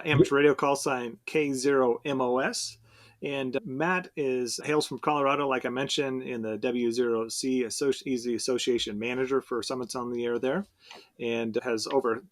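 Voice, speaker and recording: A man hosting a podcast, interviewing a guest in a friendly, rambling way. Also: medium pitch. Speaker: podcast host.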